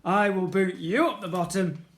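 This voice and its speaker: A man's voice: sits high, normal speed, voice pushed up. A man voicing a mischievous, eager, shape-shifting blob of slime from a storybook. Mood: playful.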